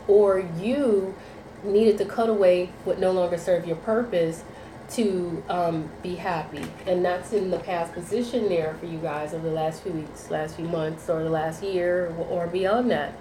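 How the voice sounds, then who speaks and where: A woman's voice: fairly low pitch, steady tone, normal speed, dark tone. A woman with a cold giving a tarot reading to viewers, sounding nasal and rambling through repeated phrases and fillers. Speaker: tarot reader. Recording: echoey room, faint background noise.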